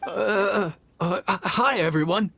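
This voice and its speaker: A man playing a nervous young male cook in a video game, timid and halting, stammering as he speaks. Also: high voice, vocal fry, heavy background noise, heavily acted. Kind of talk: English video game voice acting. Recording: loud game music behind. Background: game music.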